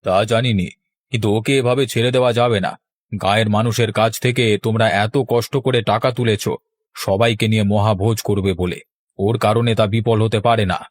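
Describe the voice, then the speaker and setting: A man's voice: fairly low pitch, steady tone, brisk, dry recording, lightly acted. Man voicing a dignified, stern village landowner. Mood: grave. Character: stern, principled village landowner, addressed as elder gentleman. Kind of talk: cartoon voice acting.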